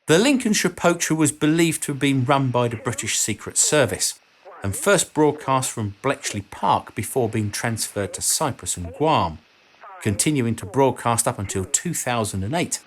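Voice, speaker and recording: A man narrating a scripted explanatory documentary in a measured, informative manner. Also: mid-range voice, animated. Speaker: documentary video narrator and presenter.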